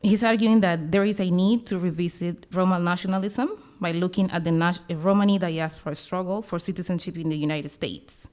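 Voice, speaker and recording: A woman's voice: on the low side, fairly even intonation, a little fast, hardly any pauses, a little mellow. A woman from Colombia with a Colombian accent, speaking English as a second language, giving a panel discussant's commentary with some hesitation. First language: Spanish. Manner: hesitant, with fillers and restarts. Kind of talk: academic conference commentary.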